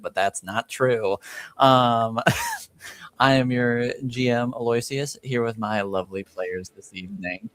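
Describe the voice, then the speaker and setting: A man hosting a tabletop roleplaying stream as game master, speaking casually and haltingly with long pauses. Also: medium pitch, smooth, dry recording.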